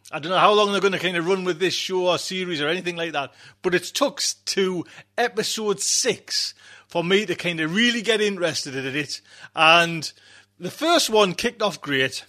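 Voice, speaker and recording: A man's voice: high-pitched, sharp tone. A man hosting a podcast casually, chatty and rambling, often repeating his phrases. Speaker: podcast host.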